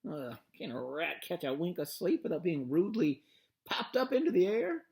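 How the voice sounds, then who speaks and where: A man's voice: on the high side, sing-song, moderate pace, creaky, darkish tone, voice pushed up. A man doing a grumpy, growling rat. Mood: disgusted. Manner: growling, disgusted, sarcastic. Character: grumpy, sleepy male rat, sarcastic. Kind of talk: storybook read-aloud.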